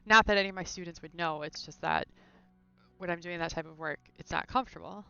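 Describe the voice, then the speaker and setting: A woman's voice: lowish voice, fast, vocal fry, sharp tone, clean tone, dry recording, lo-fi sound, faint music behind. A woman chatting casually and thinking aloud while streaming a video game from her room at home. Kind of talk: casual live gameplay chat.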